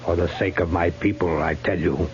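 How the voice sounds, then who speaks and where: An actor playing a grave, weary old Sioux chief who speaks in solemn, measured, terse phrases in a 1950s radio drama. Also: male voice, low voice, steady tone, natural voice. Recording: muffled, hissy 1950s radio recording.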